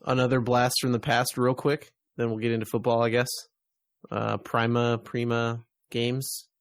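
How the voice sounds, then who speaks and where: A man co-hosting a podcast, chatting casually and in a low-key way about video games. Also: level tone, normal speed, no echo. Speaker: podcast co-host.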